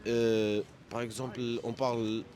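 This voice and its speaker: A man whose first language is Arabic, speaking French as a second language in a street debate, halting and insistent, often repeating words. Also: mid-range voice, level tone, a little mellow. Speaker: street interviewee.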